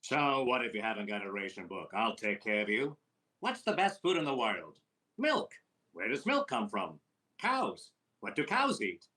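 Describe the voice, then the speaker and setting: An actor playing a brash, fast-talking wisecracking comedian in a deadpan 1940s radio comedy style. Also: male voice, lowish voice.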